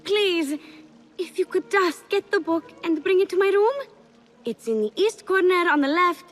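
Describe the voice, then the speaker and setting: A woman's voice: extremely high pitch, animated, moderate pace. An actress voicing a coy young palace schemer, fiercely loyal to her mistress, in a video game cutscene. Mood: pleading, giddy.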